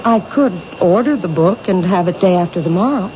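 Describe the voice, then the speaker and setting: An actress playing a reserved woman who owns a bookshop in a 1970s radio drama, quiet and polite. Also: fairly low pitch, expressive, a little fast, natural voice. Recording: dull old radio broadcast with hiss.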